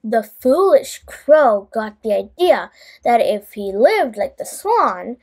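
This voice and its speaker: A woman reading a children's bedtime story aloud in an expressive, deliberate way, as the host addressing young listeners. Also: a little high.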